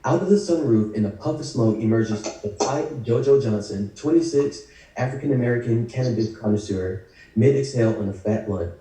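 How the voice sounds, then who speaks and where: Male script narrator, scripted action lines, very dark timbre, roomy and off-mic. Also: medium pitch, animated, fairly quick. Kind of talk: reading screenplay action lines at a table read. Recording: very echoey room, distant mic.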